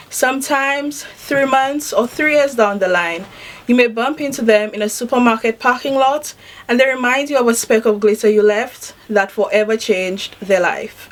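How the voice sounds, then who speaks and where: A woman in her twenties giving a prepared inspirational talk with deliberate, emphatic phrasing. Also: on the high side. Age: 20s. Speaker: public speaker.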